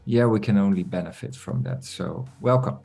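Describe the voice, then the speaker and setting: A man hosting an online community meeting, talking in a relaxed, chatty way with many fillers. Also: lowish voice, moderate pace, gravelly. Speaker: meeting host.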